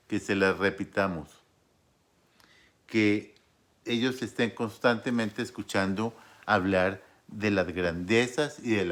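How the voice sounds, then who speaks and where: A man preaching a sermon to his congregation, unhurried, with frequent fillers, restarts and asides like "¿no?". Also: on the low side. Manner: warm pastoral tone, asks "¿no?" often.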